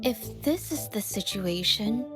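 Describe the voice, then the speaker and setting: A woman's voice: mid-range voice, animated, slow. A woman playing a shy, nervous young painter in an anime game, speaking hesitantly with small stammers. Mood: tentative. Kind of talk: anime video game voice acting. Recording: music behind the voice. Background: game music.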